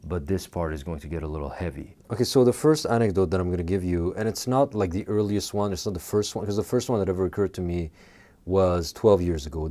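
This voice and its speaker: Male host from Quebec, French first language, very low mellow voice, earnest measured talk to camera. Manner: earnest and measured, with frequent fillers. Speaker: video essay host. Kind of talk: personal monologue to camera.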